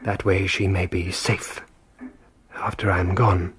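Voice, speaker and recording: An actor playing a dying father, breathy and faint, speaking slowly. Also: deep voice, flat delivery, exaggerated voice. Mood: weak. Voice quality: breathy, whispery. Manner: slow, faint. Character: dying father giving last wishes, man.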